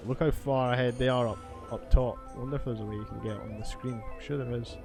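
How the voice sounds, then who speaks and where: A man's voice: medium pitch, steady tone, fast, vocal fry. A man giving rambling, sweary commentary as he plays a video game. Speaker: gaming video host. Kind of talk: casual gameplay commentary. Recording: faint game music behind. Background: video game music.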